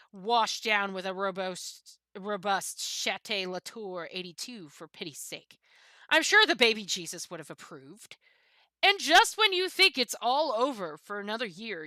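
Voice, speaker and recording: Haughty storybook cat, female reader, bright and forceful, dry close sound, scornful of common people, pompous delivery. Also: mid-range voice, no echo. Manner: pompous, disdainful, theatrically emphatic. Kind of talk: dramatic book reading.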